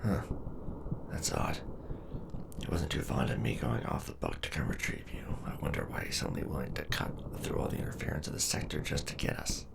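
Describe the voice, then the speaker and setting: A man playing a gruff, loyal, protective robot soldier in an audio drama, earnest and rough-edged. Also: very deep voice, sing-song, fairly quick, exaggerated voice. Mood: wry, suspicious. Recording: very noisy voice track with ambience.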